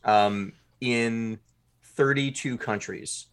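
A man's voice: mid-range voice, drawn-out words, over a noisy crowd. A man being interviewed as a podcast writer and producer, talking casually and colloquially in short bursts.

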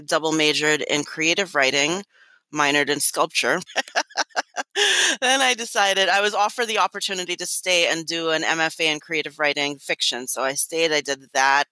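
A woman from Trinidad with a Trinidadian accent, a beauty blogger guest who tells her story in an animated way and laughs often. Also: deep voice, normal speed, no echo. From Trinidad and Tobago. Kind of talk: interview answers.

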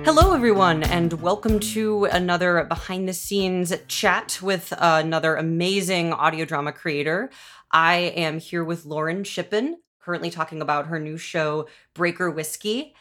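Low-pitched woman hosting an effusive casual chat. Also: normal speed, fairly bright tone, faint music behind. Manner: effusive, informal, wry. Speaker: podcast host and audio drama creator. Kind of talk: casual enthusiastic interview chat.

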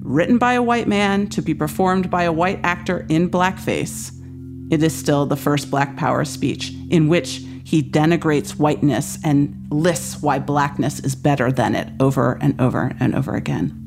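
A woman's voice: low voice, normal speed, creaky, over music. A woman with an American accent explaining things as an academic guest in an interview, emphatic and articulate.

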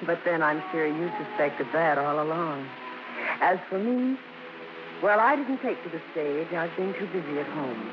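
A woman's voice: sits low, animated, normal speed. A woman playing a grown daughter fondly narrating family memories in a 1950s radio drama. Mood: fond, reflective. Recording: muffled, noisy 1950s radio recording.

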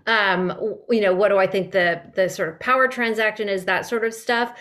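A woman's voice: mid-range voice, fairly even intonation, moderate pace, fairly bright tone. A woman speaking as a director and interview guest, casual and reflective, rephrasing as she thinks.

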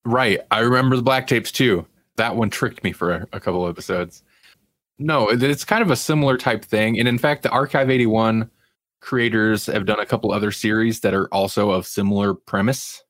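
A man's voice: on the low side, normal speed, fairly bright tone, no room sound. A man co-hosting a podcast, chatting casually and in a rambling way about television. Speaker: podcast co-host. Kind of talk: casual review chat about TV.

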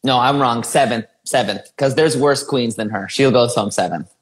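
A man's voice: mid-range voice, moderate pace. A man co-hosting a podcast, chatting casually and teasing his co-host with frequent laughter. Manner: playful, teasing, laughs often. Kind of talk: casual chat, reviewing reality show contestants.

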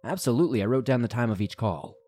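A man's voice: mid-range voice, lively intonation, fast talker, background noise, close to an everyday voice. A man voicing a nervous, hesitant rookie park ranger in a horror story. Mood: uneasy, formal. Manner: hesitant, uneasy.

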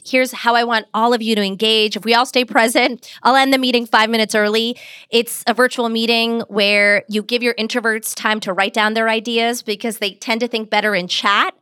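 A woman's voice: on the high side, pushed and bright, clean tone, no echo, clean studio sound. Methodical woman giving numbered advice. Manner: methodical, lays out numbered points. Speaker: communications expert guest. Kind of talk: interview answers, structured advice.

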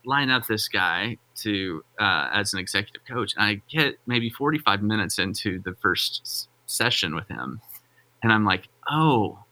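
Man, pastor, very bright voice, lowish pitch, rambly casual chat. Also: lively intonation, a little slow, low-quality recording. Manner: rambling, self-interrupting, frequent restarts. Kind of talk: casual podcast conversation.

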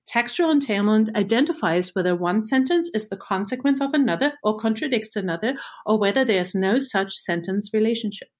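A research engineer from Germany, a native German speaker, explaining machine learning in a clear, measured scripted segment. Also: female voice, medium pitch, animated.

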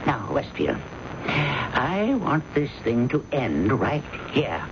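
An actor playing a stern, conservative company boss in a radio drama, brusque and pompous. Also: male voice, mid-range voice, big pitch swings, takes it slowly. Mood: stern, displeased. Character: stuffy, stern male company boss, image-conscious and conservative. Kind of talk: old-time radio drama acting. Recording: dull, noisy old radio broadcast.

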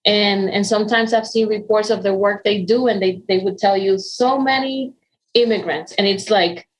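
A woman's voice: mid-range voice, steady tone, normal speed, poor audio. A woman in her forties from the Dominican Republic with a Dominican Spanish accent, telling her story in an interview, earnest and full of ums and restarts. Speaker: interview guest. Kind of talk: conversational interview.

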